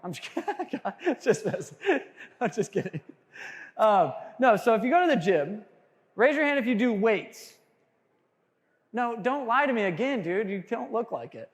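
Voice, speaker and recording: High-voiced man coaching a crowd in a hall. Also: moderate pace, long pauses. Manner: animated, jokey, quizzes the crowd. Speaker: sales trainer speaking to a room. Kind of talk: energetic seminar talk to a live audience. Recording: long hall reverb.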